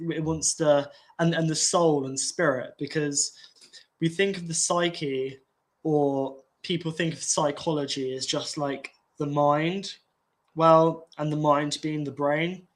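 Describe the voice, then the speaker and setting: A man, nasal and congested, talking in a slow, halting way with many restarts and fillers. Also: fairly high pitch, fairly bright tone. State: congested from an infection. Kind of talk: casual rambling talk.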